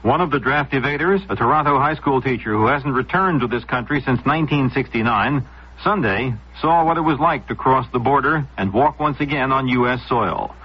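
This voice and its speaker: A man with an American accent reading scripted radio news in a formal, measured way. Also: mid-range voice, slightly rough. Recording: dull vintage radio sound.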